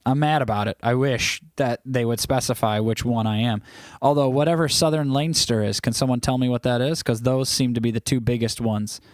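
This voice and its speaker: A man's voice: mid-range voice, fairly even intonation, brisk, few pauses, no echo. A man hosting a casual livestream, chatting loosely and teasing his viewers as he reads their comments aloud. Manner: rambling with frequent restarts. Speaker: livestream host.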